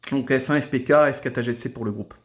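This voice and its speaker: A man's voice: medium pitch, fast talker. A man talks casually as a radio amateur, explaining things in a hesitant way. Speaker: amateur radio operator and video maker. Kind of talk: casual ham radio conversation.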